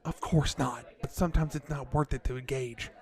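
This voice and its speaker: A man playing a level-headed, placating cafe worker who reasons with people in a measured way, in an audio drama. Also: mid-range voice, steady tone, soft background music, natural voice. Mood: calm, cautious.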